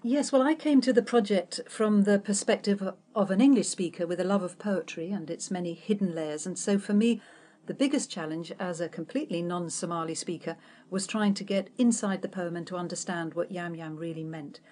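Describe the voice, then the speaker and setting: Mellow-voiced woman poetry translator. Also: on the low side, a little fast.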